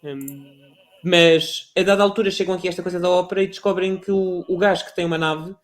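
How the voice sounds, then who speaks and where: A man with a European Portuguese accent talking casually about video games, animated and rambling, full of restarts and fillers. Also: high-pitched, brisk. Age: adult. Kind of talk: casual gaming chat.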